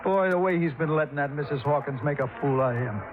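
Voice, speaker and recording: A man's voice: on the high side, fast, close to an everyday voice. An actor playing a jumpy, irritable male gambler with a blustery, stammering manner in a 1950s radio drama. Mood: confiding. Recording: muffled, noisy vintage radio recording.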